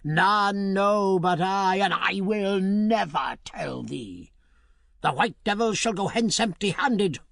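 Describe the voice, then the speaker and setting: A man's voice: slow, vocal fry, fairly bright tone, dry recording, studio quality, exaggerated voice. A man voicing an ancient, wicked old witch who shrieks and cackles in a storybook reading. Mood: mocking. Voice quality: shrill and cackling.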